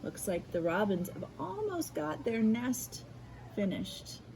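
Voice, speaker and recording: An American woman reading a picture book aloud to children in an expressive way, adding explanatory asides. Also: fairly high pitch, vocal fry, slight background noise, poor audio. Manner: animated, unhurried, addressing children. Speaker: school media specialist reading aloud.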